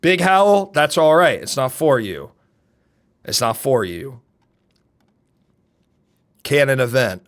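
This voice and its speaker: A young man with a deep voice, streaming and chatting casually, loud and brash, swinging from shouts to mutters. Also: slow pace, pauses often. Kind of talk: casual live-stream chat.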